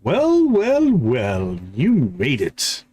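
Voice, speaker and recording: A man voicing a mysterious, smug video game character in a measured, teasing, theatrical way. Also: mid-range voice, wildly swooping pitch, mellow, slight background noise, heavily acted. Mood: sly welcome. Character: mysterious, smug male game character praising a kid adventurer. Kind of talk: reading video game dialogue aloud.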